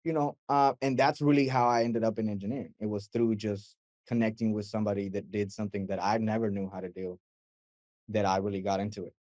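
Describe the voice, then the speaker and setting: A young man from Mexico, a guest mix engineer, telling his story in a relaxed, animated way, full of fillers and acted-out quotes. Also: fairly low pitch, quick pace, no room sound. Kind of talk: casual interview chat.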